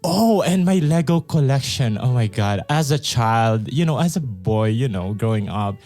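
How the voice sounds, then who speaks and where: A man's voice: medium pitch, soft background music. A man from the Philippines, co-hosting and chatting eagerly about his childhood toys. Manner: enthusiastic, animated, quick. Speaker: co-host. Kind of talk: casual chat.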